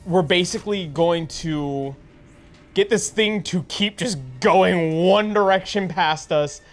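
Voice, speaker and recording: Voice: mid-range voice, slow pace. A tabletop game player talking casually in character.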